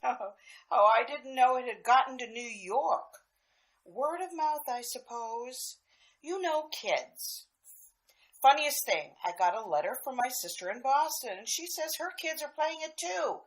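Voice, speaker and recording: A cheerful, gossipy housewife chatting on the phone, with a breezy, lively voice. A woman acts her out in a radio drama. It sits a touch high and moves a lot. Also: unhurried, rough recording. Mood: chatty. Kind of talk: amateur radio drama acting.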